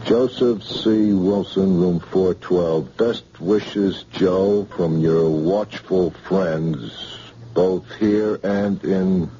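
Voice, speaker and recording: An actor playing a police sergeant who questions a witness slowly and patiently, with hesitant fillers, in an old radio drama style. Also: male voice, low-pitched, fairly even intonation, mellow, slight background noise. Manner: slow, coaxing, hesitant with fillers. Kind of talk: 1940s radio acting. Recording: dull old radio broadcast.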